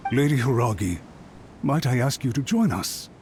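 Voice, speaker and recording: A man's voice: mid-range voice, animated, moderate pace, a little mellow, lightly acted. An actor playing an anxious, apologetic male official who speaks with deference and pleads for a second chance, in a studio game. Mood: pleading. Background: music.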